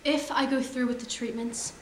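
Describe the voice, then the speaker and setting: A woman acts out a guarded, serious scientist with a fairly high voice. She delivers sci-fi stage dialogue in a dry, skeptical way. The sound is roomy, with faint noise. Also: fairly even intonation, moderate pace, natural voice. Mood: wry, skeptical. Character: wry, guarded, serious female geneticist. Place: theatre stage.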